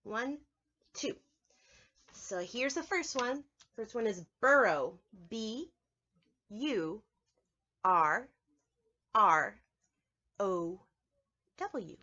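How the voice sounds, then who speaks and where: A woman's voice: medium pitch, expressive, very slow, pauses often, pushed and bright, muffled recording. A woman preschool teacher giving a lesson to young children, explaining things simply and step by step.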